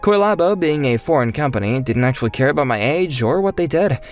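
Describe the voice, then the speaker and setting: A man's voice: fairly high pitch, expressive, brisk, soft background music, close to an everyday voice. A man playing a modest, good-natured young employee, narrating and speaking mildly in a manga dub. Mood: earnest.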